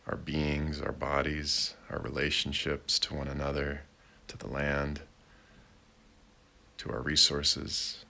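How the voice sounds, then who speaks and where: Man, meditation guide, flat intonation, bright crisp edge, gentle measured phrasing, long pauses. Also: rumbling low. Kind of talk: guided meditation, slow and unhurried.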